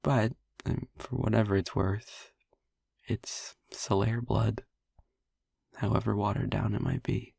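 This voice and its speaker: A man playing a gentle, wistful vampire boyfriend in an intimate audio roleplay, speaking tenderly and hesitantly in a husky, breathy voice. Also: fairly even intonation, dry recording, studio quality, full character voice. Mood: earnest, explaining. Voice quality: husky, breathy, gravelly low voice. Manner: tender, hesitant, slow with pauses. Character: gentle, wistful male vampire boyfriend, youthful, haunted by past. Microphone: close, dry microphone.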